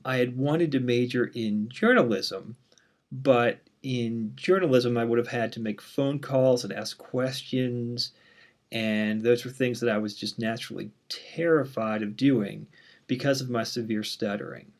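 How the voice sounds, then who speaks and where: A man in his thirties with a mild stutter, introducing himself to his online class as the instructor in a relaxed, candid way with frequent "um"s. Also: mid-range voice, a little slow. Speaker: university instructor. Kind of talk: casual course introduction.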